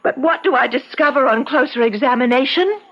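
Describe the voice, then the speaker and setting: A haughty young colonial miss in an old radio play, really high voice, bossy and formal, muffled sound. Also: female voice, fairly quick. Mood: scornful. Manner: theatrical, imperious, formal diction. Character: haughty, persuasive young colonial gentlewoman, quick to scoff. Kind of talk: old-time radio drama acting. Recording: dull, lo-fi radio drama recording.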